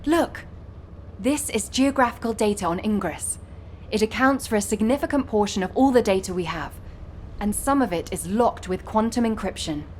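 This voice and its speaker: A woman plays an earnest young data analyst from a video game. She explains methodically in a mid-pitched, everyday voice, as if briefing a comrade. A little ambient noise sits behind her. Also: darkish tone, close to an everyday voice. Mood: earnest, explanatory. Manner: clear, explanatory, measured briefing.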